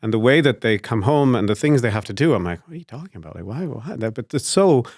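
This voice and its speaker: A man's voice: medium pitch, animated, fast talker, darkish tone, dry recording, studio quality. A man hosting an interview show, asking curious, conversational questions with hesitant restarts. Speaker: podcast host.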